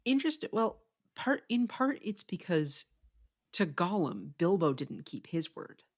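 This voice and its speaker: A podcast co-host explaining things in a measured way during a casual literary discussion. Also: mid-range voice, very animated.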